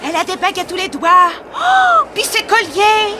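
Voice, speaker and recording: Very high-voiced, excitable naive woman. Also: normal speed. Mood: excited wonder. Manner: slangy, exclamatory, wide-eyed. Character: excitable, naive working-class woman sightseer. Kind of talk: 1960s French radio play acting.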